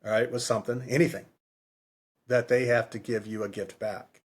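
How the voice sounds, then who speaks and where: A man's voice: mid-range voice, steady tone, moderate pace, breathy edge. A man co-hosting a podcast in a casual book discussion, rambling and often repeating himself. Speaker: podcast co-host.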